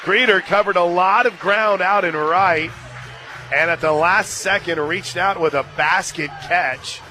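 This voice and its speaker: Brief: male radio softball announcer, forceful and fairly high-pitched, easy talk between excited calls, stadium crowd in background. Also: a little slow. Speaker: play-by-play announcer. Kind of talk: live radio softball commentary. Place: radio booth at an outdoor ballpark. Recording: crowd and stadium music behind. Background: crowd, loudspeaker music.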